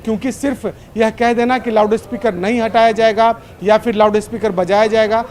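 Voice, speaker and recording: A male correspondent gives an unscripted live news report. His voice sits quite high for a man, and he explains in long, run-on sentences, restating his points. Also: flat delivery, brisk, darkish tone.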